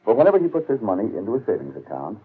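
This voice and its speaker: Low-voiced 1940s radio announcer man. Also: huge pitch swings, racing, husky. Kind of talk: scripted 1940s radio commercial narration. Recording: tinny 1940s radio broadcast.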